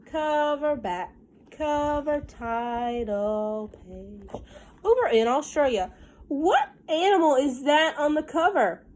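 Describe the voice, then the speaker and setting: Animated, higher-pitched woman reading to children. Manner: sing-song, expressive, addresses young children. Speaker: teacher reading a picture book aloud to children. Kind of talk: animated children's read-aloud with simple questions and asides.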